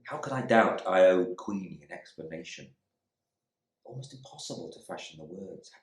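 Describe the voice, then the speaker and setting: Guy playing bitter raf airman locked up, low voice, clipped brooding monologue, echoey room. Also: lively intonation, normal speed. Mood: ashamed, reflective. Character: embittered English RAF airman imprisoned in India, proud and resentful. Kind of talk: dramatic monologue reading from a novel.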